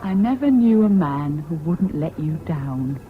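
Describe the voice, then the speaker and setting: A woman's voice: deep voice, animated, unhurried. An actress playing a bitter, scornful, fiercely independent British woman in a 1970s radio drama, with a cutting, sardonic manner. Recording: dull, noisy old radio recording.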